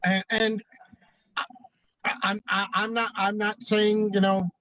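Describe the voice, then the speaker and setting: A mild-mannered man chatting casually as a co-host, speaking in a hesitant, halting way. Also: sits high, little pitch movement, bright, forceful tone, no room sound. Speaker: show co-host and producer, drag performer. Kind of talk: casual chat.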